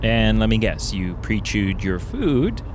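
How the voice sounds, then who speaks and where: A man's voice: on the low side, very animated, slow, noisy background. A man playing a wry, reflective young man on a road trip who talks in a dry, sarcastic way.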